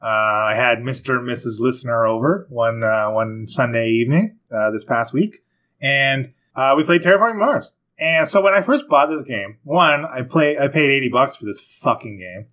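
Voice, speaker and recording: Casting note: male podcast co-host, chatty and profane, loose storyteller, mid pitch. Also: normal speed, fairly bright tone. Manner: rambling and profane, laughs often, self-mocking asides. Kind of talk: casual podcast chat, board game talk.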